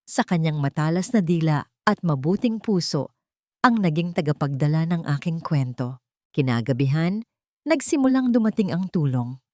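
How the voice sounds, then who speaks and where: A woman reading a scripted first-person dramatic story in a sorrowful, deliberate manner. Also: low voice, warm tone, dry recording, clean studio sound. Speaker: story narrator.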